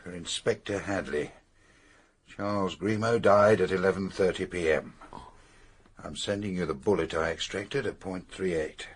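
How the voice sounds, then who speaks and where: A businesslike police inspector in a radio mystery. He has a deep, slightly rough voice and speaks directly, with firm authority. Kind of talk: radio drama acting.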